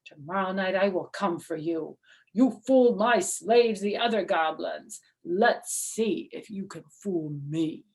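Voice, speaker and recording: A woman voicing a mighty, menacing goblin king in a storybook, loud, deliberate and taunting. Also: lowish voice.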